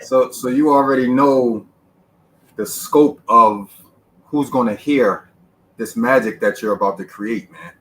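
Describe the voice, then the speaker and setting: Podcast host dude, talks in an even middle register, keeps hammering the same advice, pretty emphatic. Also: male voice, medium pitch, a little slow, low-quality recording. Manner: emphatic, repetitive advice-giving. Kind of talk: conversational interview.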